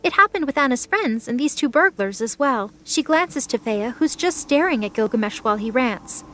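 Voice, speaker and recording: High-pitched woman narrating a story. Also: expressive, moderate pace, pushed and bright, a little hiss or hum. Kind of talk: scripted audio drama narration.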